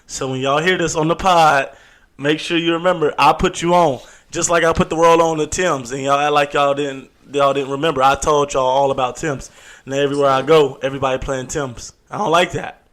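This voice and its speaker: Boastful, slightly high-voiced young man. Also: fairly even intonation, brisk, fairly bright tone. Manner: boastful, playful teasing. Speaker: podcast co-host. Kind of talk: casual chat.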